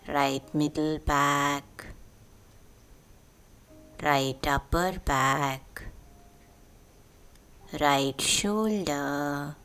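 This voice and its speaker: A woman guides a sleep meditation in a low voice. She speaks extremely slowly and soothingly, leaving deliberate pauses between short phrases. Music plays softly behind her. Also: clean tone. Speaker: meditation guide, podcast host. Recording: voice over background music.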